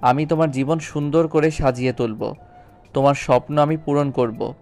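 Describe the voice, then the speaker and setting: একজন পুরুষের অভিনয়ে আন্তরিক, অনুনয়ী তরুণ মাস্টারমশাই স্বামী. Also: male voice, a little high, steady tone, moderate pace, a little mellow, close to an everyday voice. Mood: earnest, resolute. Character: earnest young schoolteacher husband, apologetic and pleading. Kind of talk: audio story drama acting. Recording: background music under voice. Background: music.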